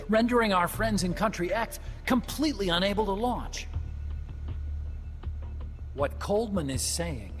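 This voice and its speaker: An actor playing a nervous, guilt-ridden young scientist in a game cutscene, explaining quickly and at times slipping into subdued hesitation. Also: male voice, sits high. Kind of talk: video game cutscene acting. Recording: music playing underneath.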